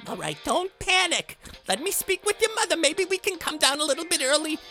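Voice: high voice, sing-song, brisk, sharp tone, soft background music, heavily acted. An actor playing a fussy, eager, corny middle-aged dad in a scripted comedy podcast, speaking in an earnest, theatrical way. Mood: worried.

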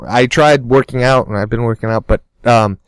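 A man's voice: medium pitch, normal speed, clear, resonant tone, dry recording. Chatty young man, self-mocking storyteller. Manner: chatty storyteller, laughs at himself. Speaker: podcast co-host. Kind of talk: casual chat.

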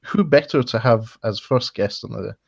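A man's voice: medium pitch, normal speed, vocal fry, husky, dry recording, dull audio. A man hosting a podcast, asking questions in a friendly, hesitant way, with many restarts. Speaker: podcast host. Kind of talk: casual interview.

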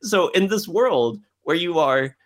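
A man's voice: fairly high pitch, lively intonation, measured pace. A man co-hosting a casual film discussion, often stammering and repeating his words as he makes his point. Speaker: podcast co-host.

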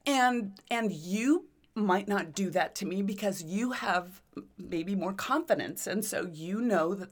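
A woman's voice: medium pitch, lively intonation, measured pace. A middle-aged woman in casual conversation, with a big voice, speaking earnestly and emphatically about herself and her adult children. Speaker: conversation partner. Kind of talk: casual chat.

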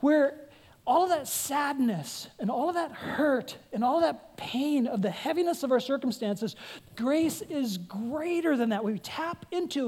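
A woman's voice: high voice, darkish tone. A woman pastor delivering an earnest sermon, putting questions to her congregation and pressing her points.

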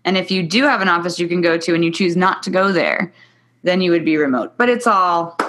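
A woman's voice: fairly low pitch, a little fast, a bit bright, a little hiss or hum. A woman hosting a summit interview, speaking in an upbeat, promotional way over a video call. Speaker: summit host.

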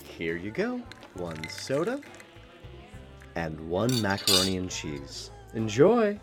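A man acting in an audio drama as an obliging, expressive diner waiter. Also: low voice, takes it slowly, music in the background, full character voice. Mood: helpful.

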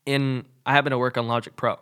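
A man's voice: medium pitch, level tone, fast, fairly bright tone, smooth. Young man, nasal from a cold. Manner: rambling, many fillers like "like" and "um". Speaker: podcast co-host. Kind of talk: casual podcast chat.

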